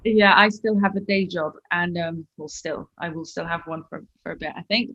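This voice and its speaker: A woman's voice: lowish voice, normal speed, a bit bright, lo-fi sound. A woman from Switzerland, a musician guest chatting casually and bubbly in an interview.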